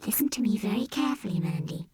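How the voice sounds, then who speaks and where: A woman voicing a gentle, resolute robot teddy bear in an audiobook story, soft and husky, speaking slowly and earnestly. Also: medium pitch, big pitch swings, in a whisper, voice pushed up, heavily acted. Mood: hushed, earnest. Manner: slow, earnest, deliberate.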